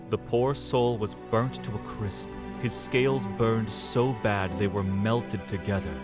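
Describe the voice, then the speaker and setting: A man with a low voice narrates a fantasy audio drama. He reads in a measured, dramatic storytelling manner. A loud music score runs beneath his narration.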